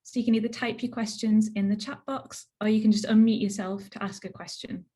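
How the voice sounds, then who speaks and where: A woman's voice: medium pitch, fairly even intonation, fairly quick, dark tone. Northeast English woman presenting over video call. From northeast England. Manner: chatty, plain explanations with frequent "so" and "um". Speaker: researcher giving a talk.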